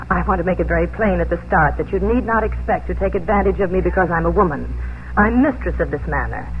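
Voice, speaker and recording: An actress playing a young, proud but kindly colonial farm mistress, proper, refined and earnest, in a 1950s radio drama. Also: female voice, lowish voice, phone-quality sound, a little hiss or hum, close to an everyday voice. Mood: brisk, flustered. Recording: band-limited old radio broadcast with music.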